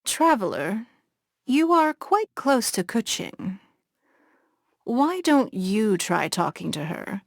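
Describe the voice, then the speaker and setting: A woman voicing a poised, shrewd noblewoman who leads a harbor city, speaking in a measured, formal and composed way in a game cutscene. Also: fairly high pitch, very animated, no echo, clean studio sound, exaggerated voice. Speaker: game character.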